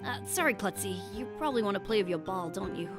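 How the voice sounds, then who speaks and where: A woman's voice: mid-range voice, animated, quick pace, over music, voice pushed down, close to an everyday voice. A woman voicing an earnest, anxious penguin agent in a game story, caring, apologetic and encouraging. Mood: gentle, encouraging. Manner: stammering, encouraging.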